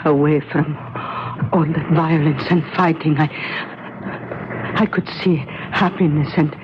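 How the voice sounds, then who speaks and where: Dull old radio recording, ailing elderly mother, slow, husky, pleading, faltering. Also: low-pitched, steady tone, noisy background. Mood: tender pleading. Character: frail, dying, devoted mother pleading with her son. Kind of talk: 1970s radio drama acting.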